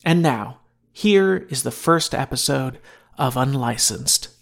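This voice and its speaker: Male podcast host, mid-pitched with noticeable vocal fry, reading a scripted show intro and promo. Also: animated, slow, crowd noise.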